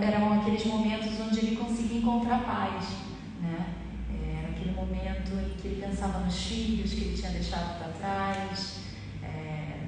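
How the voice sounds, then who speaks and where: A woman with a Brazilian accent speaking publicly as an author at a book launch, answering questions and presenting her work. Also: mid-range voice. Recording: very echoey hall, distant mic, faint noise.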